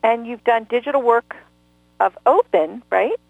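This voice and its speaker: A woman's voice: a little high, measured pace, vocal fry, no echo. A woman hosting a live radio interview, effusive and gushing with praise. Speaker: radio host. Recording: tinny phone-line sound.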